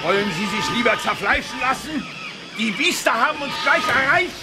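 A man's voice: very high-pitched, animated, normal speed. A man in a German audio drama playing a blustering, incredulous army general in space, given to exclamations. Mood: agitated. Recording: music clearly behind the voice. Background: music.

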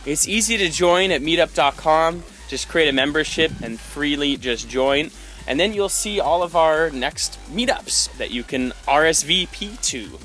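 An enthusiastic young man talks into a phone held at arm's length outside by a seaside spring. His voice sits a little high and he speaks slowly and deliberately. Clear music plays underneath him. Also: animated, sharp tone. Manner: upbeat and enthusiastic. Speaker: meetup organizer. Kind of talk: casual promotional vlog. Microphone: phone camera at arm's length.

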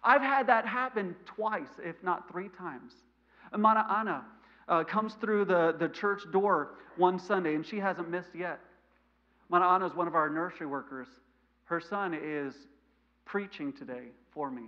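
A man in his thirties with a mild stutter, preaching a sermon in a church sanctuary, animated and gesturing, swinging between loud emphasis and quiet asides. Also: high-pitched, normal speed. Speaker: missionary preacher. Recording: lo-fi church recording.

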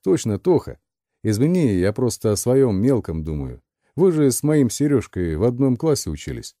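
A man's voice: medium pitch, very animated, normal speed, warm tone, dry recording, studio quality, voice pushed up. A man voicing a falsely friendly young man in an audiobook, casual and slangy as he coaxes a child. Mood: chummy, coaxing.

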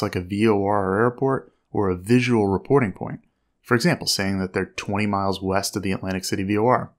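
A man narrating a scripted lesson as an instructor, with a clear, measured, explanatory delivery. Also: fairly low pitch.

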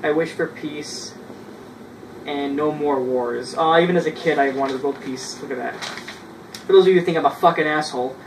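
A man, casually reading his old writing aloud in a halting way, with self-mocking asides, in a small bedroom. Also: a little high. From Canada. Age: 20s. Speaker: vlogger. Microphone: camera a few feet away. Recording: roomy, distant mic with noise.

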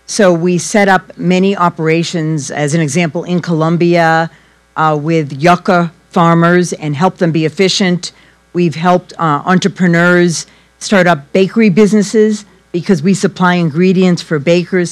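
A middle-aged woman, a business executive, giving an explanatory answer on a press conference panel. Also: on the low side. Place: indoor press conference stage. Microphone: gooseneck microphone in front of seat.

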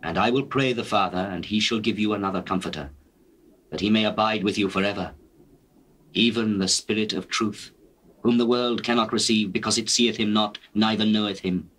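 Casting: grave, gentle master teacher, lowish pitch, stately declamation for dramatized scripture. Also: male voice, breathy edge, rough recording. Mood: solemn, assured. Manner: measured, solemn, declamatory. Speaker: actor in dramatized Bible recording. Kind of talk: dramatized scripture reading in archaic English.

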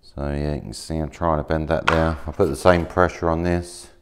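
A man in his thirties casually showing materials at a workshop table, talking in a relaxed, rambling way with plenty of fillers and restarts. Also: rumbling low, normal speed, very noisy. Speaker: maker demonstrating laser-cut materials. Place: workshop, indoors, at a work table.

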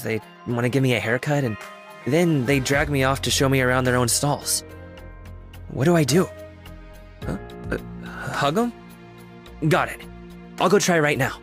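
A man's voice: mid-range voice, natural voice. A man voicing an earnest, humble, eager young engineer in a video game, with casual, clipped, sincere phrasing. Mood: flustered, affectionate. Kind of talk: scripted game character voice lines.

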